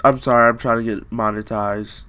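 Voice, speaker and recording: A man's voice: medium pitch, fairly even intonation, moderate pace, bright, forceful tone, clear, resonant tone. A young man reading a fairy tale aloud in a small room, joking in slang and breaking off to riff, close to a webcam. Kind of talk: comedic storybook reading with asides.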